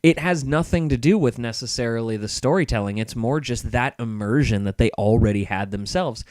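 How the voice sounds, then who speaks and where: A man's voice: medium pitch, brisk, a little mellow, no room sound. Dry-sounding man chatting as podcast guest. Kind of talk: casual chat.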